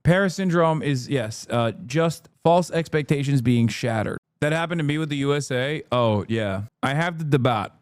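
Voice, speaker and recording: Man in his 30s for a stream host, sarcastic, fast patter, dry close mic. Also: mid-range voice, lively intonation, clean tone, no echo. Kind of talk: casual reaction-stream commentary.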